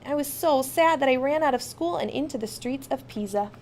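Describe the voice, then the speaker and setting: A woman with a fairly high voice narrates a children's storybook in the first person. She reads expressively, putting clear emphasis on key words. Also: brisk, darkish tone, slight background noise. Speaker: storybook reader.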